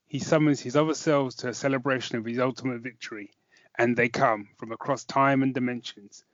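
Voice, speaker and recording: A man's voice: medium pitch, dry recording. A man co-hosting a review podcast in a casual chatting style. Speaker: podcast co-host. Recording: dull, dry podcast audio.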